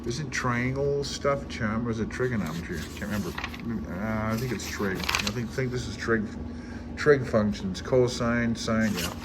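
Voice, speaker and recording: A clearly noisy recording carries a man's mid-pitched voice. He talks casually, like an unscripted hobby vlogger thinking aloud. His delivery rambles and halts, and he is openly self-deprecating. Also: moderate pace. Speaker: amateur radio hobbyist making study progress videos.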